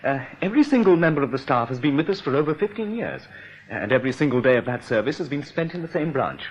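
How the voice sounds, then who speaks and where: A man's voice: on the high side, big pitch swings, fast. An actor playing a bumbling, jovial English second-in-command at a bank in a radio play, blunt and musing, yet deferential to his boss. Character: bumbling, tactless, jovial male deputy executive at a bank. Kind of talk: British radio play acting. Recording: dull, hissy old radio recording.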